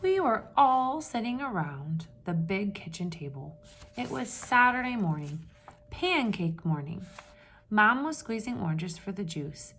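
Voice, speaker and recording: A woman reading a picture book aloud to children with lively expression, pausing to ask her listeners questions in hushed asides. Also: medium pitch, a little hiss or hum. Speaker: read-aloud storyteller for children.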